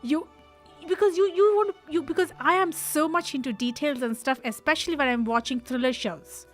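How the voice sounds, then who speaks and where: A woman hosting a radio show, chatting casually in an animated, emphatic way; her is Telugu. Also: sits high, faint music behind. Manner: animated, emphatic, quick asides. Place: radio studio.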